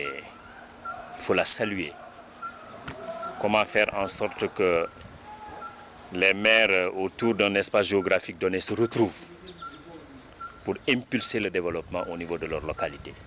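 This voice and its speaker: Deep-voiced man, Senegalese French accent, oratorical interview delivery, faint ambient noise behind. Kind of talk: interview statement to press.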